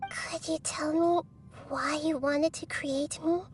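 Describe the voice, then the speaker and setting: A woman voicing a young girl deity in a video game, earnest and curious, with a soft, hesitant, questioning manner. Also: high voice, fairly even intonation, slow. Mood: tender. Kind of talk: scripted video game voice acting. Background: background music.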